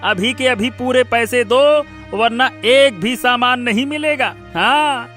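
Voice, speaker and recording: A cartoon village shopkeeper, acted by a man, greedy and rude. The voice is very high and piercingly bright. He speaks in curt, scornful bursts, always scolding and arguing. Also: takes it slowly, faint music behind, exaggerated voice. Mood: angry, insistent. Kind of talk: Hindi cartoon moral story acting.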